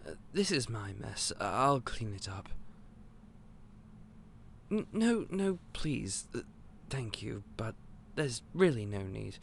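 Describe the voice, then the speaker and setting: A man playing an eccentric, otherworldly candy store owner in an audio roleplay, polite and gentle but a little scatterbrained. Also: mid-range voice, big pitch swings, takes it slowly, creaky, a little hiss or hum. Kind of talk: scripted audio roleplay to the listener.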